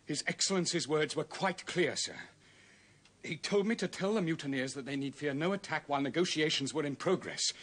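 A British actor playing an impassioned, pious army major in a period television drama, accusing and formal. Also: male voice, fairly high pitch, a little fast, fairly bright tone. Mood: earnest protest.

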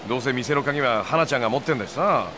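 An actor playing an easygoing, laid-back boss in his thirties in a video game, talking in a casual, loose, expressive way. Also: medium pitch, very fast, noisy background, lightly acted. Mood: amused, casual.

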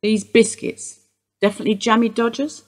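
A husky, guarded elderly suspect. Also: female voice, fairly high pitch, level tone, normal speed, darkish tone. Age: older. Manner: guarded, dry, wistful. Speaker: police interview suspect. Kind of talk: radio play acting.

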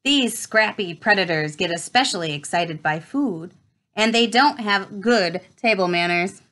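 A woman in her thirties reading a children's nature book aloud at home, with measured storytime phrasing. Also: medium pitch, fairly bright tone. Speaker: read-aloud presenter. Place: small furnished room at home, indoors.

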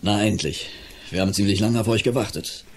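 A stern, formal father in a radio drama, played by a man. His voice is deep and low, with faint noise behind it. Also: a little fast, natural voice. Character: stern middle-aged father of a boy. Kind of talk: radio play acting.

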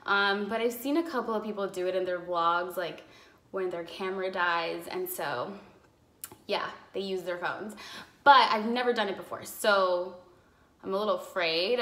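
Chatty young woman, bright and a bit raspy. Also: medium pitch. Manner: chatty and rambling, lots of "like" and "um". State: slightly raspy from a cough. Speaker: vlogger. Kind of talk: casual chat to camera. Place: apartment living room, indoors. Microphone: phone camera in front, seated. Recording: echoey room on a phone mic.

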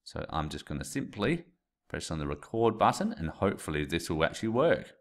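Man, 30s, very low voice, plain step-by-step tutorial explainer on a desk USB mic. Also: very animated, brisk. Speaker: teacher. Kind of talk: screen-recorded tutorial. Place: small indoor room. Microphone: USB desk mic, webcam framing.

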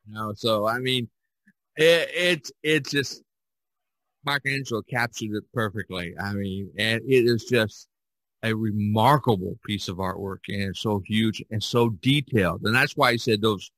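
Man with a bright, forceful low voice. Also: normal speed, dry recording, poor audio. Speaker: podcast host discussing art. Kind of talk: casual conversational discussion.

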